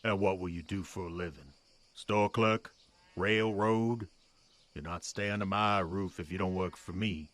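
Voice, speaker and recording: A man's voice: low voice, unhurried, a bit bright. An actor playing a domineering old farmer father in a period audio drama, curt and scolding, with old-fashioned rural speech. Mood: stern, scornful. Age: older.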